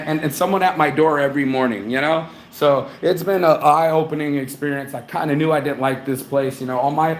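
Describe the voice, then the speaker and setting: A man's voice: on the high side, a little hiss or hum. A man from New Orleans giving a blunt, rambling, profane live travel update from a hotel room. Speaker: vlogger. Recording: echoey room with faint ambience.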